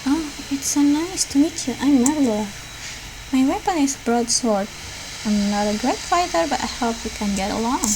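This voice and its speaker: Girl doing a sweet eager little witch from a game, high pitched n gushy, noisy audio. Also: expressive, moderate pace. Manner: gushing, friendly, earnest. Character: sweet, eager, apologetic young witch Merlo. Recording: clear background noise.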